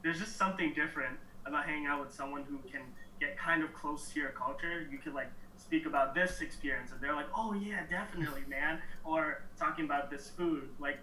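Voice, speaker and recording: A young man from New York answering interview questions in a relaxed, halting way, with soft laughs between thoughts. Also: a little high, slight background noise. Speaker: interview guest. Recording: echoey room, mic at a distance.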